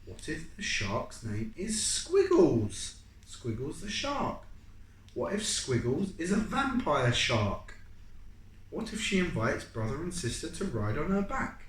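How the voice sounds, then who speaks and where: An adult reader putting on an eager storybook schoolchild, excitable and insistent, pitching ideas with lively swings. The sound is an echoey room with some noise behind. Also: slow pace, distant mic. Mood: excited, insistent.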